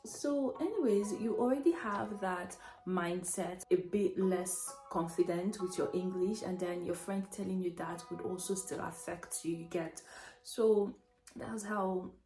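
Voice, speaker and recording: A woman's voice: lowish voice, a little slow, warm tone. A young woman with a Nigerian accent, chatting casually through a personal story, self-mocking and laughing often. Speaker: vlogger telling a story. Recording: echoey room, faint music behind.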